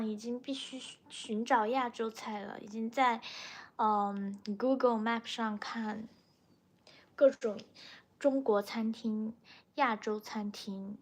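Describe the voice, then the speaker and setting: A young woman from China, a native Mandarin speaker with a Chinese accent, chatting casually as a livestream host and replying to viewers. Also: fairly high pitch. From Chongqing, China. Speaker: livestream host, language teacher.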